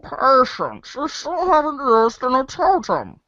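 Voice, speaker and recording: A woman's voice: high voice, wildly swooping pitch, slow pace, a bit bright, no echo. Woman hosting a read-along, takes her time, voice moves around a lot, dry slightly muffled audio. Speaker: host and reader in a fan readers' theater. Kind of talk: read-along acting with casual asides. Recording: dull lo-fi audio.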